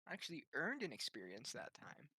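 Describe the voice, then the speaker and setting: Lively, bright-voiced male gamer. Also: a little high, brisk, husky, dry recording. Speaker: tabletop game player. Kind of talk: casual chat during a tabletop game.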